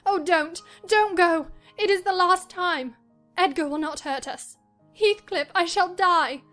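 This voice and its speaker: A woman playing a desperate, dying young woman in a dramatized audiobook, pleading and passionate in a slow, sobbing delivery. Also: very high-pitched, a bit bright, faint music behind.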